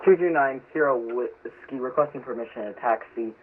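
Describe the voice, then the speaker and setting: A man's voice: medium pitch, normal speed. A man playing a pilot in a flight simulation, making radio requests and readbacks. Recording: phone-like radio with faint noise.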